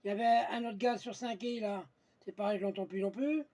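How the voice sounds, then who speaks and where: A man's voice: high-pitched, fairly even intonation, brisk, a little mellow. A man chatting casually on amateur radio, blunt and colloquial, often searching for his words. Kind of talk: casual radio contact chat.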